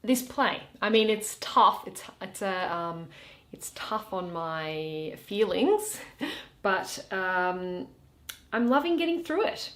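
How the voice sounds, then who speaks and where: A woman presenting and reading a play aloud, with a measured, theatrical delivery. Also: medium pitch, animated. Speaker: reader and presenter of a Shakespeare series. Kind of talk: dramatic reading of a play with casual asides. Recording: roomy, echoing room sound.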